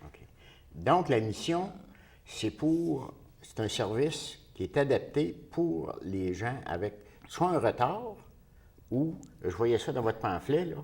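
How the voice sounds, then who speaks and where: A man with a Québécois French accent asking conversational interview questions, halting and rephrasing as he goes. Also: medium pitch, big pitch swings, vocal fry, a little mellow.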